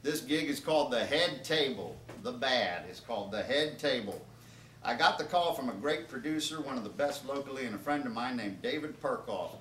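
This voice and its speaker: A man's voice: mid-range voice, animated, moderate pace, fairly bright tone. A man reading comedic stories aloud as an author at a live event, with informal asides to his listeners. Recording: roomy, distant, noisy live event recording.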